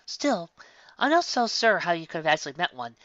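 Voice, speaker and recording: A bookish young female unicorn, voiced by a woman reading aloud, explains everything with eager precision. The tone is bright and crisp, a touch higher than the reader's own. It sounds slightly muffled and dry, like a lo-fi home recording. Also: very animated, fairly quick, no room sound. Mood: explaining.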